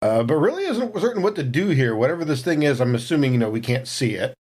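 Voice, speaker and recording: A man's voice: mid-range voice, very animated, quick pace, darkish tone, breathy edge. A man playing a tabletop role-playing game, narrating his character in an improvised, conversational way.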